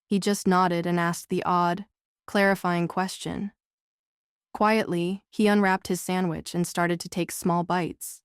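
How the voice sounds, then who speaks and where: Smooth, low-pitched female audiobook narrator. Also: flat delivery, a little slow, dry recording, clean studio sound. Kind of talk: scripted narration of a novel.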